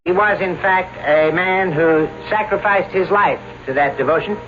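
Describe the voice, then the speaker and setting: Actor as a solemn funeral minister, slightly high voice, dull lo-fi old radio sound with music underneath. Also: male voice, normal speed, natural voice. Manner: solemn, formal oratory. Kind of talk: 1970s radio drama acting.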